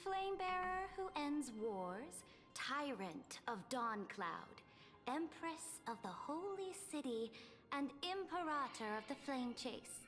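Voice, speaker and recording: Casting: imperious conqueror queen for a game, high voice in long reverb, music behind, formal and regal. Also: very animated, slow pace, fairly bright tone, exaggerated voice. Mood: stately, grand. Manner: commanding, formal, grandiose. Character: imperious young empress, regal conqueror with cold courtesy. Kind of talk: video game voice acting.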